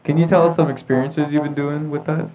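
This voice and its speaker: A man's voice: fairly high pitch, flat delivery, fairly quick, warm tone. Young American man hosting, dull noisy audio. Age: teens or young adult. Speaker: host of a teen talk show. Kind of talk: casual group chat. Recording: dull lo-fi audio with clear background noise.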